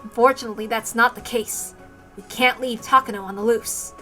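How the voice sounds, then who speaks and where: Urgent, serious soldier, acted by a woman, bright forceful tone, music behind. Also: a little high, steady tone, a little fast. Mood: grave. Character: grave, urgent military man facing disaster. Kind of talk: dramatic visual novel read-aloud.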